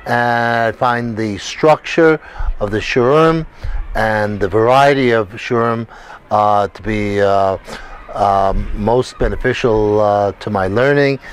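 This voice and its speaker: A man with a fairly low voice gives a formal student testimonial at a very slow pace. He hesitates between phrases, over faint background noise. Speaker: yeshiva student giving a testimonial.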